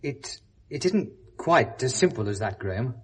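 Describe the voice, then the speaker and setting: A man's voice: medium pitch, big pitch swings, normal speed, a little hiss or hum, natural voice. A man in his thirties with a British accent acting a diffident, guarded, educated man down on his luck in a 1970s radio drama. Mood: guarded, reluctant. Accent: British English. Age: 30s. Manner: hesitant, guarded.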